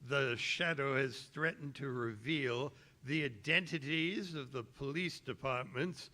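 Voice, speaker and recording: Man playing a bright-voiced, earnest police commissioner. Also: medium pitch. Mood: grave, worried. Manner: earnest, deliberate, halting. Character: worried, authoritative male city police commissioner. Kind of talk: 1930s radio drama acting.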